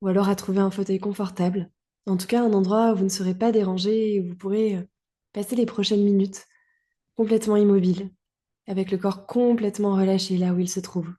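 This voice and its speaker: A woman guiding a relaxation meditation as a yoga teacher, calm and soothing, in short phrases with long pauses. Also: mid-range voice. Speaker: yoga nidra guide.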